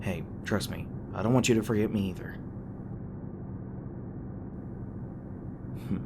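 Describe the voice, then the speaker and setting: A man's voice: lowish voice, quick pace. A man playing a teasing, crass but caring demon boyfriend in a conversational audio roleplay, talking casually with some hesitation. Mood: gentle, reassuring. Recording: clearly noisy background with ambient sound effects.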